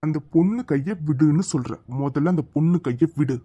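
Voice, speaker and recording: A performer voices a righteous village hero who faces down a bully, speaking. The voice is lowered and slow, with a stern, threatening weight. The sound is dry and close. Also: warm tone, no room sound, natural voice. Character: bold righteous young village hero man.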